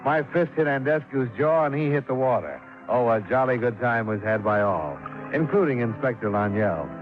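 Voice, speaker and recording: An actor playing a wry, tough American insurance investigator in 1950s radio drama, narrating in a dry, clipped, sardonic way. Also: male voice, medium pitch, expressive, normal speed, music in the background, lightly acted. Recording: muffled old radio recording.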